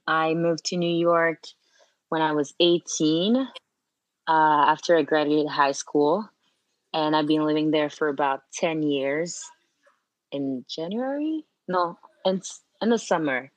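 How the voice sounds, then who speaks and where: A woman in her late twenties from Martinique, French her first language, speaking in a relaxed interview with frequent fillers. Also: low-pitched, unhurried, no echo, lo-fi sound. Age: late 20s. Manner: relaxed, hesitant with fillers, laughs often. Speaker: guest, fashion model. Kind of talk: interview conversation.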